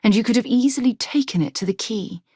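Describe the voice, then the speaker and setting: An actress playing a principled, commanding middle-aged woman explorer and former naval officer in a video game, speaking in a crisp, articulate, earnest way. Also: a little high, lively intonation, quick pace, a little mellow, no echo, studio quality. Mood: composed, earnest. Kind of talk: acted video game companion dialogue.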